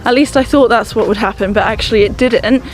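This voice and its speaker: A woman's voice: on the high side, fairly quick. Chatty young woman vlogging to camera. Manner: chatty, self-mocking humor. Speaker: vlogger and online English teacher. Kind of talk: casual vlog talk to camera.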